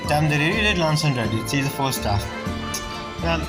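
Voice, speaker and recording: A woman streamer reacts casually as she plays a game. Her voice is extremely low for a woman and her remarks are offhand, with game music clearly audible behind her. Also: very animated, moderate pace. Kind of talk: casual playthrough commentary.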